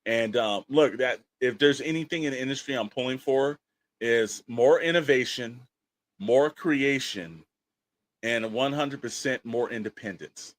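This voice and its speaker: A man's voice: mid-range voice, unhurried, no echo. An American man co-hosting a podcast in a casual, chatty style, speaking informally and repeating phrases for emphasis. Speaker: podcast co-host.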